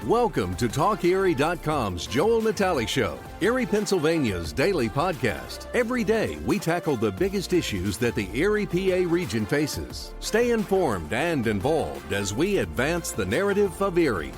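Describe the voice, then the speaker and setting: A man reading a scripted show intro as an announcer, with a lively delivery. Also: a little high, normal speed, few pauses. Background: music bed.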